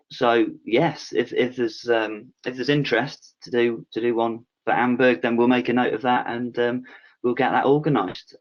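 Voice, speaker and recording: A man hosting an online Q&A with a panel, reading out viewers' questions in a relaxed way with lots of "um"s. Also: mid-range voice. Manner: relaxed, filler-heavy, reads out questions. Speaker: webinar host. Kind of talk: casual Q&A moderating. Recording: dull lo-fi call audio.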